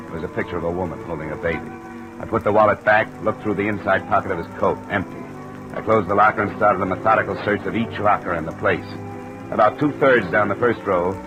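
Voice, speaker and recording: Guy playing tough american radio detective, low voice, dry n clipped, old time crime show. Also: highs cut off, close to an everyday voice. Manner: clipped, matter-of-fact, dry. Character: tough, wry freelance insurance investigator narrating his case. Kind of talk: 1950s radio detective narration and dialogue.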